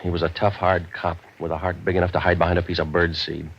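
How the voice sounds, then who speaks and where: Casting: tough, world-weary American gumshoe, low voice, sardonic deadpan, muffled vintage radio sound. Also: male voice, fast, a little hiss or hum. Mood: wry narration. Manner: sardonic, clipped, deadpan wisecracks. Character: cynical wisecracking male private detective, world-weary and tough. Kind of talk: 1940s radio detective drama acting, hard-boiled first-person narration.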